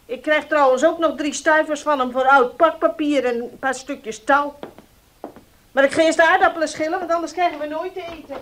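A woman's voice: steady tone, brisk, background noise, close to an everyday voice. An actress playing a scolding, penny-pinching middle-aged mother in a 1970s radio play. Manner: scolding, shrill.

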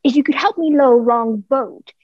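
An earnest young cabin boy, voiced by a woman with a high pitch. He speaks politely, in radio play style, on a somewhat dull recording. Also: normal speed, natural voice. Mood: earnest, wary. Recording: dull, muffled home recording.

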